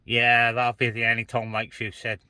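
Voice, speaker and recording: A man's voice: medium pitch, completely flat delivery, moderate pace. A man chatting casually on CB radio, hosting an open call for other stations. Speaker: CB radio operator hosting a net. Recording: very noisy CB radio hiss and static.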